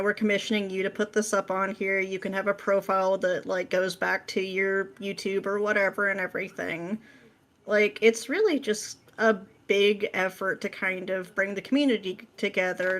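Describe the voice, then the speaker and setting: A woman chatting casually as a podcast guest, with an animated, informal way of talking. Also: mid-range voice. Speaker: podcast guest, script writer. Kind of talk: casual interview chat.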